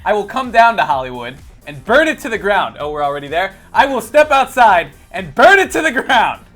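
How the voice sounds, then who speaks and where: A young man on a gaming panel show who rants loudly and emphatically about movies. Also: sits high, sing-song, brisk, faint music behind. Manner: emphatic, ranting. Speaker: show co-host. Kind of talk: casual panel chat, mock rant.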